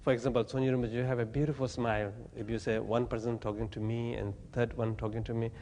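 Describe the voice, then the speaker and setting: A man from Tibet, giving a Buddhist teaching in halting, plain English with gentle humor. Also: mid-range voice, level tone, in a large hall, a little hiss or hum. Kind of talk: dharma talk to an audience. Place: talk venue with audience.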